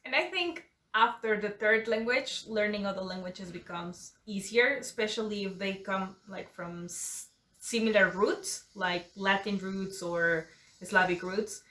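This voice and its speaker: A woman's voice: medium pitch, measured pace, fairly bright tone. A woman whose first language is Spanish, hosting a casual drinking-game chat and laughing often between lines. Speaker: YouTube channel host. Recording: echoey room.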